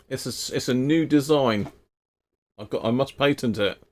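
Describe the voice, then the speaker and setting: A man's voice: mid-range voice, measured pace, a little mellow. A man hosting a live stream about radio gear, chatting casually and laughing at his own mistakes as he works, his voice moving nearer and farther from the mic. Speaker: radio hobby livestream host.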